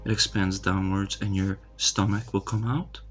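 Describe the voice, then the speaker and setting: A man speaking English as a second language, calmly narrating his meditation in a slow, hesitant, soft-spoken way with many fillers, in a quiet room at night. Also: deep voice. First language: not English. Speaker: meditation vlogger. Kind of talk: calm narrated live meditation.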